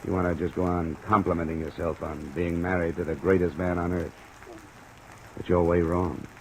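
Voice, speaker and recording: Low gruff-talking radio detective, cynical insurance investigator, blunt, old recording w faint hiss. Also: male voice, level tone, normal speed, rough recording. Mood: blunt, pressing. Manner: blunt, hard-boiled, pressing. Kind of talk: old-time radio detective drama acting.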